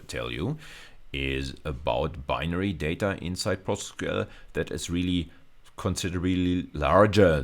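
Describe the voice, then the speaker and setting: A man lecturing at university level in an extremely low, deep voice. He explains his material step by step in a measured way, often restating a phrase before moving on. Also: vocal fry.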